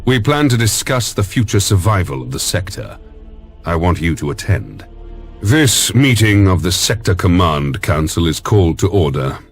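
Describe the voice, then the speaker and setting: A commanding Lord Admiral of a space navy in a game cutscene. The voice is deep and grave, with a measured, formal delivery. Faint music runs underneath. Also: male voice, big pitch swings. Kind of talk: dramatic sci-fi game cutscene acting.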